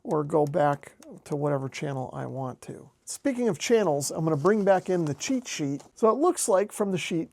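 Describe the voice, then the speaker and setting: A man's voice: sits high, a little mellow, dry recording. An American man casually narrating a hands-on hobby demonstration as host. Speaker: host, amateur radio hobbyist.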